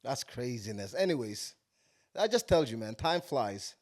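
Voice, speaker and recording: A man's voice: mid-range voice, big pitch swings, measured pace, a little mellow. A thirty-year-old man hosting a podcast, bantering casually in loose, profane slang. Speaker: podcast host.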